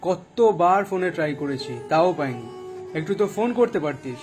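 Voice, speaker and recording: Earnest young man, played by an actor, highish voice. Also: lively intonation, moderate pace, music in the background, lightly acted. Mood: pleading, defensive. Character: earnest, pleading young man seeking lost friend. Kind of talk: Bengali audio drama dialogue.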